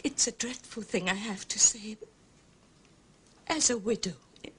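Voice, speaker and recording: A genteel widow in a period drama. Her voice is high and very breathy, often sinking toward a whisper. She speaks haltingly, anxious and pleading, as if confiding a painful secret. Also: fairly bright tone, full character voice. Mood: tearful, confiding. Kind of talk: British television period drama acting.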